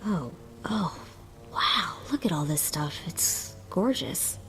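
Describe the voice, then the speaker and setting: A woman's voice: lowish voice, animated, slow, fairly bright tone. A woman playing a friendly, wide-eyed adventuring sidekick in a video game, with a casual manner. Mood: awed. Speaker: companion character in a video game. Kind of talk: scripted game dialogue. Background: music.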